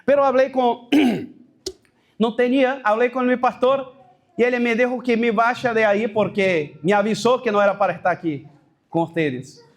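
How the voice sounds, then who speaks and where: A man with a Brazilian Portuguese accent, a pastor giving a personal testimony at a leadership workshop, telling his story haltingly with restarts and stray Portuguese words. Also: very high-pitched.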